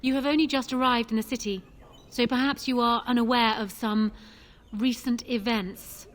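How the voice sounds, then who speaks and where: A woman's voice: a little high, fairly even intonation, moderate pace, slight background noise, lightly acted. A woman playing a proud, shrewd young widowed queen in a fantasy video game, speaking in a formal, commanding manner. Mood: measured, persuasive.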